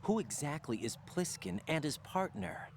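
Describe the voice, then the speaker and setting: A man's voice: a little high, sing-song, normal speed, vocal fry, a little mellow, slight background noise. An actor playing an earnest, inquisitive young male operative in a video game cutscene.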